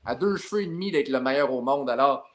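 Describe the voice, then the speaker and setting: A man with a Québécois French accent, a sports journalist guest telling a lively story in a podcast chat. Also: medium pitch, fast talker, slight background noise. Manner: lively, builds up his story with repeated tags.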